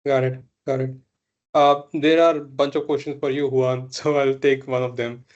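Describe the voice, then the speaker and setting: A man's voice: mid-range voice, flat delivery, normal speed, darkish tone. A man moderating a panel discussion in a friendly way, laughing between the questions he reads out. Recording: muffled lo-fi audio.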